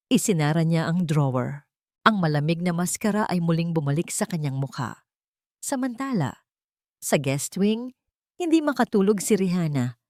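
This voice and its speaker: Woman storyteller, slightly low pitch, measured dramatic narration, pauses for effect, dry recording. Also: no echo. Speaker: narrator of romance audio stories.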